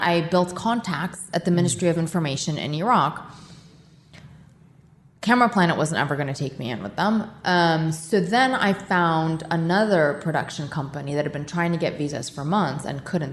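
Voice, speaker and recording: Low-voiced woman telling stories in an echoey room. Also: normal speed. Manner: animated, self-mocking storytelling with laughs. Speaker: guest, war correspondent. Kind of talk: podcast interview storytelling. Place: charity office, roomy. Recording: echoey room with faint noise.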